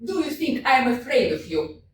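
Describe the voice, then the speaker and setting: A woman's voice: on the high side, sing-song, a little fast, slight background noise. A woman voicing a proud, indignant young widow in a staged reading of a play, scornful in tone. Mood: furious. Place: small community theater. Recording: echoey room, far from mic.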